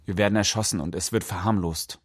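A man's voice: fairly low pitch, fairly even intonation, fast talker, husky. An actor playing a grieving, bitter young man of immigrant family in a radio play. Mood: indignant. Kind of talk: radio play acting, poetry recital.

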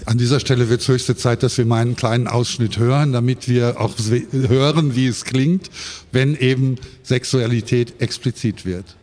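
A man moderating a live panel discussion in a measured, articulate way. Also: mid-range voice, steady tone, darkish tone. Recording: reverberant hall at book fair.

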